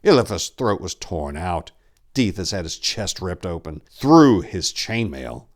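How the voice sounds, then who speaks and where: A man with a very low voice narrates grim fantasy fiction. He reads in a solemn, theatrical way, giving the prose grave weight. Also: big pitch swings, slow pace. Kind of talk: dramatic reading of dark fantasy fiction.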